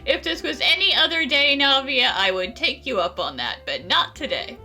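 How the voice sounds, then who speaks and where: A woman's voice: high voice, animated, normal speed, sharp tone, full character voice. A woman playing an easygoing young traveler in a video game, casual, playful and jokey. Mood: casual, placating. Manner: casual, laid-back, jokey. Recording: music behind the voice.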